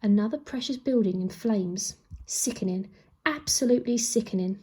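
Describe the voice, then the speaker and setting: A woman voicing a scheming male Lord Protector in a calm, oily storybook reading. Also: mid-range voice, moderate pace, slightly rough, close to an everyday voice. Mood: smooth.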